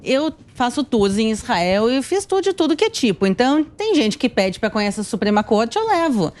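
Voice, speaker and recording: A woman's voice: on the high side, very animated, normal speed, a little mellow. A woman in her thirties with a Porto Alegre accent from southern Brazil, telling stories conversationally as an interview guest. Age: 30s. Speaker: tour guide and YouTuber guest.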